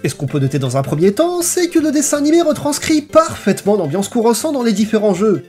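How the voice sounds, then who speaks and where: A man's voice: sits high, big pitch swings, quick pace, darkish tone. A man reading a scripted video essay in a playful, theatrical storytelling manner. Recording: voice-over with music behind. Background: background music.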